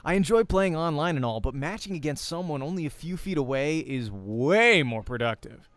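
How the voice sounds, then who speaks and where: A man reading first-person visual novel narration as a voice actor, informal and quick to comment on his slips. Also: a little high, lively intonation, a bit bright, dry recording. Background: faint game music.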